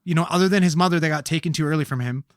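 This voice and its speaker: A man's voice: fairly high pitch, racing, no echo. A man co-hosting a podcast in a studio, explaining his point emphatically in casual, profane chat. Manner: emphatic, swears a lot, explains at length. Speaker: podcast co-host.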